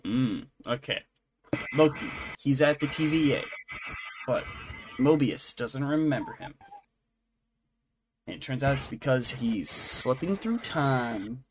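A man with a mid-range voice talks casually as a podcast co-host. His delivery is halting, with trailing phrases and fresh starts. Clear noise and small clicks sit in the recording. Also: medium pitch, animated. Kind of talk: casual unscripted recap and review chat.

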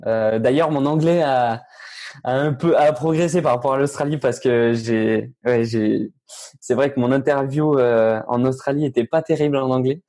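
Jeune homme hésitant à la voix sombre. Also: male voice, mid-range voice, normal speed, warm tone. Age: teens. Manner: hesitant, many fillers and restarts. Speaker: young tennis player. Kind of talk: casual interview answers.

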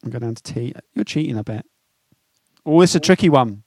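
A man's voice: medium pitch, expressive, brisk, darkish tone, dry recording. A British man co-hosting a podcast, acting as quizmaster in casual banter with a teasing, sweary manner. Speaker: podcast co-host.

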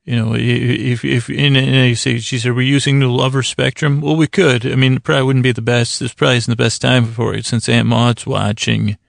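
A man with a heavily fried, gravelly voice hosts a bedtime podcast in a dry, echo-free recording. His pitch sits in the middle range. He is gentle and soothing, wandering and stumbling through halting, self-interrupted thoughts meant to help people drift off. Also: level tone. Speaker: podcast host. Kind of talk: rambling bedtime monologue to lull listeners to sleep.

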